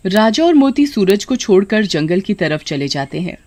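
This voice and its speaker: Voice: medium pitch, sing-song, moderate pace, a little mellow, no room sound. A narrator reading a scripted children's story in a dramatic storytelling manner.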